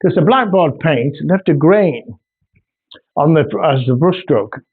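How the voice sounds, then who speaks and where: A man's voice: a little high, animated, a little slow, breathy edge. A man in his sixties with a North Yorkshire accent, an artist chatting casually and ramblingly about his work. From Australia, raised in North Yorkshire. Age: 60s. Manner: rambling, homely, with many ums and restarts. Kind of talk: casual video introduction. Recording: tinny phone-like recording.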